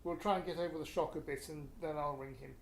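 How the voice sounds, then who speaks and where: A man's voice: a little high, steady tone, fast, close to an everyday voice. Man acting subdued British father, echoey room. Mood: stunned, subdued. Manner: hesitant, understated. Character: stunned middle-aged father, subdued and placating husband. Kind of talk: radio play acting. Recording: echoey room with faint noise.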